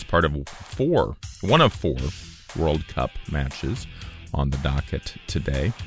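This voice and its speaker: A man hosting a local morning radio show, reading scores, time checks and the weather in relaxed station patter. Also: sits low.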